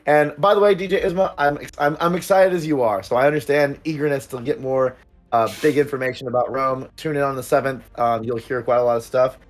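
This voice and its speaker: A man's voice: mid-range voice, steady tone, fairly quick. A man hosting a casual livestream and chatting to viewers in a rambling, upbeat way full of fillers. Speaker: producer and stream host.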